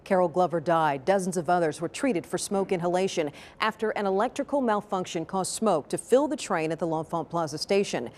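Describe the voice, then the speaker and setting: Lower-pitched American female news anchor. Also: a little fast, few pauses, darkish tone, slight background noise. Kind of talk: scripted news presenting.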